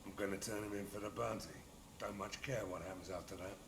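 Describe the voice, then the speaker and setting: An actor playing a hard-bitten mercenary bounty hunter in a video game, gruff, blunt and unbothered. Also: male voice, on the low side, steady tone, fast. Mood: gruff, businesslike. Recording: echoey space with noisy ambience.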